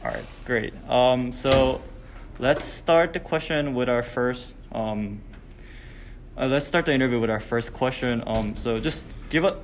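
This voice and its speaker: A young man, a student, interviewing a classmate and asking his questions hesitantly, with many pauses and restarts. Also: medium pitch, a little hiss or hum. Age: young adult. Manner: hesitant, full of ums and restarts. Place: hotel meeting room.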